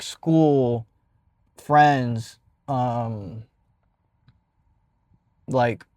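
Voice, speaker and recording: A man's voice: medium pitch, a little mellow, no room sound, clean studio sound. A young man with a stutter telling a casual personal story as a vlogger, with many fillers and restarts.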